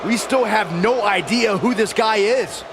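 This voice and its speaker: An actor playing an astonished wrestling color commentator, animated and exclamatory, in a video game. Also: male voice, high voice, unhurried. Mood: incredulous. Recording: noisy arena with crowd.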